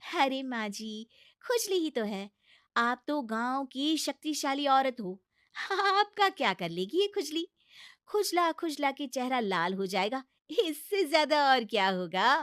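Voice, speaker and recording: A very high, closely recorded young daughter-in-law, acted by a woman in a comic household story. She nags and pleads with cheeky exasperation. Her delivery is insistent and scolding. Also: big pitch swings, measured pace, a bit bright, smooth, no room sound, clean studio sound, exaggerated voice. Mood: sly teasing.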